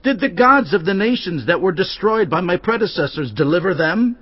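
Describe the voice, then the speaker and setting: A man's voice: high-pitched, animated, normal speed, breathy edge. An actor playing the Assyrian king's messenger, speaking with arrogant taunts. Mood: haughty. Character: haughty messenger of the Assyrian king. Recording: dry, dull close recording.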